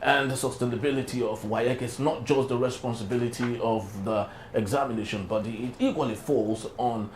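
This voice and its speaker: Male Nigerian talk show host, mid-range voice, deliberate emphatic phrasing, faint ambient noise behind. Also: medium pitch, steady tone. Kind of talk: broadcast talk show hosting.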